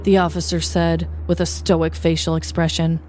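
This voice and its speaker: A woman's voice: deep voice, little pitch movement, normal speed, darkish tone, soft background music. A woman podcast host who moves between casual chat and scripted, descriptive comedic storytelling.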